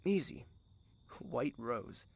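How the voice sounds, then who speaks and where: A gentle young man in an audio drama, played by a male actor. He talks slowly with a creaky edge and lively intonation. His manner is soft-spoken, wistful and fondly teasing. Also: mid-range voice, full character voice. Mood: playful, tender.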